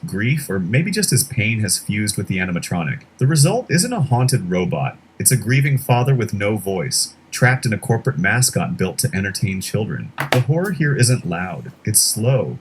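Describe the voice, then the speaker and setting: A man narrating a scripted horror explainer in a measured, dramatic storytelling way. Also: on the low side, slight background noise.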